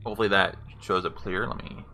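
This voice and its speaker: Bright-voiced man demonstrating game voice commands. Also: low-pitched, steady tone, fast talker, creaky, a little hiss or hum. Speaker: gamer demonstrating a voice assistant. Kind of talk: spoken voice commands with casual commentary.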